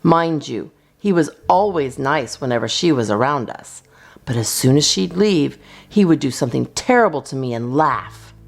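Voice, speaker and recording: A woman reading a children's storybook in an expressive, deliberate narrating style. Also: sits low, creaky. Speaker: storyteller, children's channel host.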